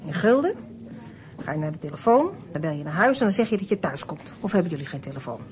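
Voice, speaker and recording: Brisk no-nonsense motherly lady in a radio play, bit of ambient noise behind. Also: lowish voice, big pitch swings, a little mellow. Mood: coaxing, practical. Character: blunt, motherly stranger advising a runaway child. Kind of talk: Dutch radio play acting.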